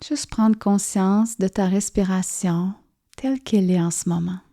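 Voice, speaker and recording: A woman guides a yoga nidra relaxation, speaking softly and soothingly with gentle pauses. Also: medium pitch, fairly even intonation. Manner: soft, soothing, gently pausing. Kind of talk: guided relaxation meditation narration.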